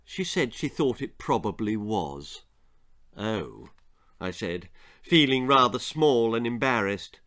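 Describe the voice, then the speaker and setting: An older man reading a children's ghost story aloud in a theatrical, suspenseful, drawn-out way. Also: mid-range voice, expressive, fairly bright tone. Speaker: author reading own story. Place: small book-lined room indoors.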